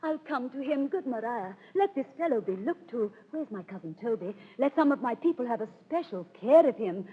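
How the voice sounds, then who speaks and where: A woman's voice: on the high side, expressive, fairly quick, few pauses, slightly rough. An actress playing an anxious, imperious noblewoman in 1930s radio Shakespeare, with a theatrical, questioning and commanding manner. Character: noble lady of the house, anxious, imperious mistress. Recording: dull, reverberant 1930s radio recording.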